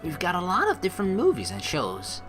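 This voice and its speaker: A woman doing a let's play, with a low voice and lively, swooping intonation. She narrates on-screen text and slips into playful commentary over background game music. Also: a little fast. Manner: playful, casual, teasing asides.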